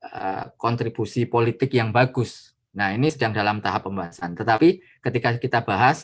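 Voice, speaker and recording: A man, a guest lecturer answering questions informally in a hesitant, explanatory way with softening tags. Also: mid-range voice. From Indonesia. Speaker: trade attaché, guest lecturer.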